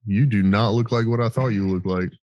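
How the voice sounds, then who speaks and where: A man's voice: fairly low pitch, fairly quick, a little mellow, dry recording, muffled recording. An American man hosting a veterans' podcast in casual, sweary, storytelling chat. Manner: informal, sweary. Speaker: podcast host, military veteran.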